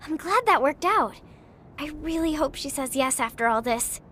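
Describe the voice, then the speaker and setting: A woman's voice: sits high, expressive, normal speed, a bit bright, a little hiss or hum. A woman playing an eager, friendly young crew member in a video game cutscene.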